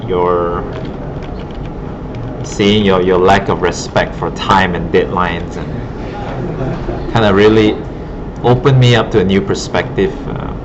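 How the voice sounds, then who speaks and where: Muffled noisy classroom recording, deep male voice, art teacher, casual demo commentary, rambling and halting. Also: fairly even intonation. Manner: rambling, halting, thinking aloud. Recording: muffled broken audio, loud room noise.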